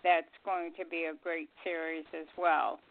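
A woman chatting and announcing upcoming programs in a halting, chatty way as a radio co-host. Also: deep voice, little pitch movement. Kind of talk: casual radio chat and show announcements. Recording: tinny phone line, faint music behind.

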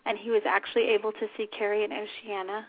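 Female talk show host, informal and unscripted, slightly husky and scratchy, dry phone-quality sound. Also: mid-range voice, little pitch movement, fast talker, dry recording. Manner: chatty, informal. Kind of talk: unscripted casual radio chat. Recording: tinny phone-line internet radio audio.

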